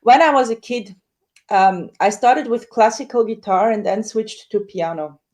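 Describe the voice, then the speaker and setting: A woman, a soprano singer, telling her story in a casual interview with lively acted-out quotes. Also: measured pace. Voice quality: high dramatic coloratura soprano voice.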